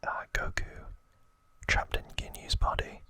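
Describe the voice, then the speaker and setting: A man whispering softly and breathily as an ASMR host, narrating trivia in short, unhurried phrases. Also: no echo.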